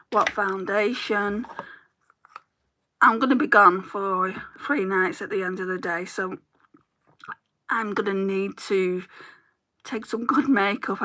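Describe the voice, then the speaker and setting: A chatty British woman with a bright, forceful voice talks through her makeup on a livestream. She rambles from product to product and greets viewers by name. Her throat is starting to go a little sore. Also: mid-range voice. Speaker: beauty vlogger, livestream host. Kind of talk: casual chat while doing makeup live.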